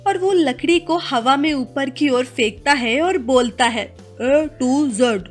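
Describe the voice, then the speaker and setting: A woman's voice: high-pitched, measured pace, soft background music. Woman narrating a children's animal story.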